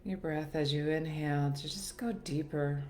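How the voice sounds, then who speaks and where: A woman leading a guided meditation in a soothing, gentle, unhurried manner with long pauses. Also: sits low, fairly even intonation. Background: soft background music.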